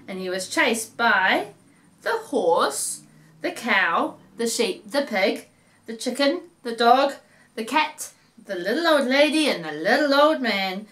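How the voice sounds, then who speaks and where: Children's storyteller: middle-aged New woman, high bright voice, animated, unhurried. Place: living room, seated in an armchair.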